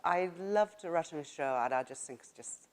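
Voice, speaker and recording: Woman, middle-aged, Chinese accent, very low pitch; halting, reflective interview answers. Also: lively intonation. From China. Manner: thoughtful, halting, with frequent fillers and laughs. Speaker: author interviewee. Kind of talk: casual interview.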